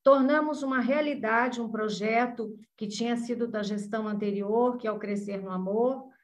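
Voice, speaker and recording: Earnest woman in her sixties, slightly high. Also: moderate pace. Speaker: guest. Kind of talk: podcast interview.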